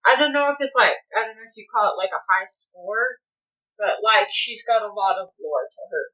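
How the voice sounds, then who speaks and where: A woman with a bright, clear-edged voice at a medium pitch, chatting as a podcast co-host. She talks informally and a bit tentatively, working out her thoughts as she goes. The sound is slightly dull and lo-fi. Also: lively intonation, normal speed. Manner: tentative, informal, many "like" hedges. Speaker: podcast co-host and game player. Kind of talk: casual unscripted chat.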